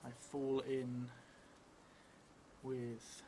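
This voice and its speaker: A middle-aged man thinking aloud as he narrates a solo tabletop role-playing game, halting between phrases. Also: mid-range voice, completely flat delivery, warm tone, slight background noise. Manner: halting, pausing between phrases while writing. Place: indoor room, seated at a table. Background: music.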